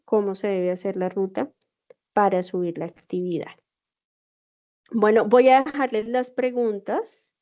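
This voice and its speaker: A woman's voice: mid-range voice, dark tone. A woman teacher with a Bogotá accent explaining an assignment step by step in an online class, patiently checking that students follow. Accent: Bogotá Spanish. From Colombia. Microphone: video call. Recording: dull lo-fi video call audio.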